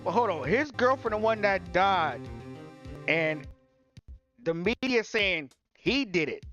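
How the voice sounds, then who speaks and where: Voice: mid-range voice, expressive, slow pace, fairly bright tone, dull audio, faint music behind. A game streamer giving casual live commentary in an informal, slangy, incredulous way. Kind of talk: casual live let's play commentary, reading dialogue aloud.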